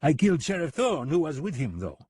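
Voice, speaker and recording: An arrogant gunman who taunts everyone around him, as a male narrator puts him on. The voice rides high and haughty, a little husky, and sits close and dry. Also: very animated, a little fast, darkish tone, no echo. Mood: smug. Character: smug foreign male hired killer, mocking and arrogant.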